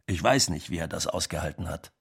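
A man's voice: very deep voice, a little fast, creaky, husky, full character voice. An actor playing a music business man, looking back matter-of-factly in a radio drama. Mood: marveling. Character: music business man marveling at past success. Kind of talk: documentary radio drama monologue.

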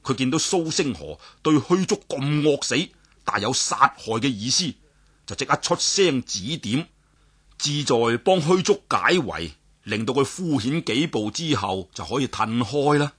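A man with a Cantonese accent telling a martial-arts story in a lively, dramatic storyteller's manner, with sudden exclamations and voices for the characters. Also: medium pitch, unhurried, slightly rough.

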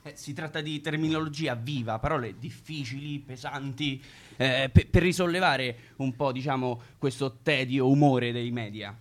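A man's voice: mid-range voice, moderate pace, hardly any pauses. A man co-hosting a radio talk show.

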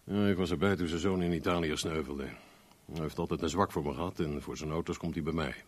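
A man's voice: rumbling low, steady tone, fast talker. A man acting a blunt, needling gym owner and fixer in a Dutch radio drama, mocking and persuasive. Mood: matter-of-fact, pushy. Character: blunt, needling male gym owner and car dealer friend.

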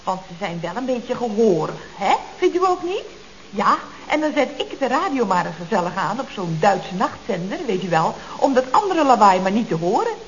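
An actress playing a chatty, mock-innocent office secretary in a Dutch radio comedy, with a chirpy, expressive delivery. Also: female voice, medium pitch, normal speed, close to an everyday voice. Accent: Dutch from the Netherlands. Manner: chirpy, expressive, chatty with frequent tag questions. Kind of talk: 1970s radio comedy acting. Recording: dull, noisy old radio recording.

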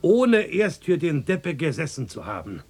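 An actor playing a pompous, indignant police commissioner with officious, stiff speech in a German radio play. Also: male voice, a little high, huge pitch swings, measured pace, a little mellow, breathy edge, exaggerated voice. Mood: aggrieved, blustering.

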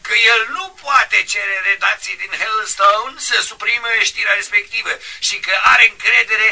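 Sharp, high confident bearer of news. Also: male voice, steady tone, gravelly, recorded from a distance, heavily acted. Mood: pleased, assured. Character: confident associate reporting good news by telephone. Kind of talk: Romanian radio drama acting.

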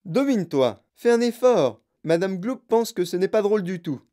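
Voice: mid-range voice, normal speed, dark tone, pitched above the performer's own voice, close to an everyday voice. A storyteller voicing a flamboyant, gleeful male factory owner, eccentric, emphatic and mischievous. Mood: brisk instructing.

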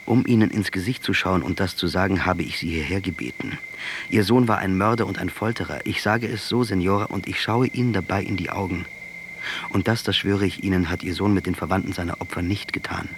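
An actor playing a proud, hardened revolutionary commander, sardonic and deliberate, in a radio play. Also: male voice, sits low, noisy background. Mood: grave, stern. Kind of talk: German radio drama dialogue.

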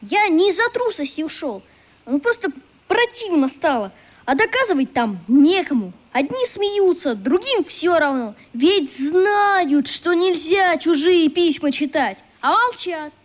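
A high-voiced schoolboy in a radio drama, with lively, swinging intonation. He is frank and artless, earnest and a little hurt, and the sound carries a long roomy reverb. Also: measured pace, exaggerated voice. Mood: defensive, hurt. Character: earnest, hurt schoolboy who fled summer camp. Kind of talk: radio play acting.

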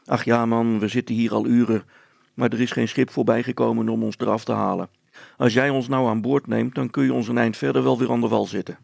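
A man voicing a stranded father in an audiobook story, loud, urgent and curt as he calls out. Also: medium pitch, fairly even intonation, brisk, creaky, dry recording. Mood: persuading. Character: stranded father Brilstra, shouting evasively for help.

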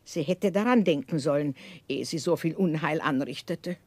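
An actress playing a blunt, sharp-tongued but tender-hearted older aunt in a 1960s German radio play, probing and exclaiming. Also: female voice, low-pitched, normal speed, no room sound. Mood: scolding, exasperated.

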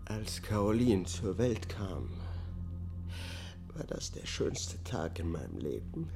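Haunted defendant, very low voice, slow halting delivery, confessional radio drama. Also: male voice, sing-song, creaky, over music, full character voice. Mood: subdued, haunted. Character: haunted male murder defendant confessing, broken and detached. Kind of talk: German public radio drama acting, confessional testimony.

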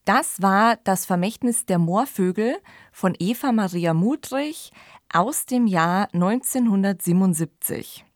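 A female podcast host with a medium-pitched voice talks at a slow pace. Her commentary is conversational and reflective, recorded close and dry. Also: expressive, no echo. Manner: explanatory, reflective.

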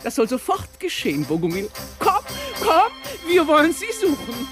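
A high, swooping voice full of upper-class command, an imperious lady mother in a radio play. She talks down to everyone with bossy grandeur. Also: wildly swooping pitch, normal speed, faint music behind. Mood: gushing. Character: domineering aristocratic mother, a lady. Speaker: Lady Westwich.

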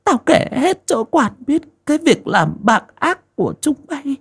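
A man puts on a righteous old mother, her voice pushed up very high into shrill, wide-swinging cries. Her tone is gravelly and husky as she scolds and wails in a told storybook. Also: big pitch swings, unhurried, heavily acted. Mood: furious. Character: stern grieving old mother, righteous and furious.

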